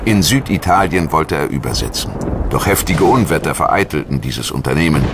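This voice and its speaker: Very deep-voiced male documentary narrator. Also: animated, a little fast, noisy background. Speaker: documentary narrator and voice-over translator.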